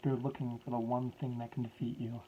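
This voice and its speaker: A man's voice: mid-range voice, little pitch movement, fairly quick, dull audio, slight background noise, lightly acted. A man voicing a grieving middle-aged male scientist who pleads in a raised, strained voice.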